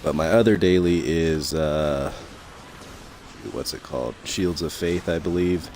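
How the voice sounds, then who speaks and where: Young guy talking thru his gameplay, deep voice gone a bit raspy, kinda rambly. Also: measured pace, a little mellow, a little hiss or hum. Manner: rambling, many fillers and restarts. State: slightly raspy from long talking. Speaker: gameplay commentator. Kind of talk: casual game commentary.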